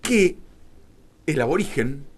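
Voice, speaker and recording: An Argentine man telling a story as a radio interview guest, emphatic, with rhetorical questions and dramatic pauses. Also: on the high side, expressive. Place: radio studio.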